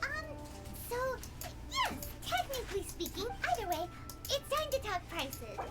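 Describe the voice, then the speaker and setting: A tiny, excitable childlike game character, squeaky and extremely high. The intonation swings about eagerly, and loud music plays behind. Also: female voice, unhurried, heavily acted. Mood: fretful. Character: squeaky, excitable little companion sprite or child. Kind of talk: scripted video game character dialogue.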